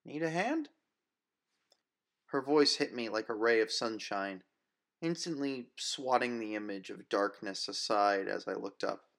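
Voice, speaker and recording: A man narrating first-person fiction as an audiobook reader, with a measured, wistful, literary delivery. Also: on the low side, creaky, fairly bright tone.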